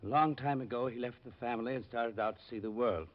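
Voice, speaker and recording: A man's voice: medium pitch, fast, natural voice. A man playing a worried, wealthy client in a radio drama, speaking formally and precisely. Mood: earnest, formal. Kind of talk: 1950s radio drama acting. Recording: muffled old radio broadcast recording.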